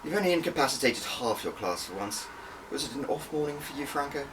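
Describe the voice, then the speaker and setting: A man's voice: medium pitch, very animated, brisk, far from the mic, noisy background, voice pushed up, natural voice. A man voicing a brusque, disapproving intelligence department head in an audiobook, curt and bossy.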